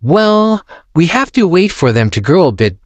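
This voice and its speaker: A man's voice: high-pitched, very animated, moderate pace, dry recording, pitched above the performer's own voice. A man voicing a friendly old grandfather pig who patiently explains things, in a storybook read-aloud. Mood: explaining.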